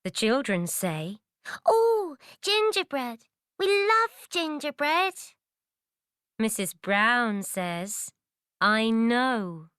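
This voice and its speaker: A woman narrating a scripted children's story for young learners in deliberate, simple phrases. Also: a little high, very animated, a bit bright, smooth, no echo, clean studio sound.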